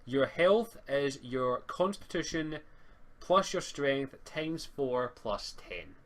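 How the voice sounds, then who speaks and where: A man speaking casually as game master of a tabletop roleplaying game, halting and repeating words as he thinks. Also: medium pitch, expressive, a little hiss or hum. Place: home online voice call stream.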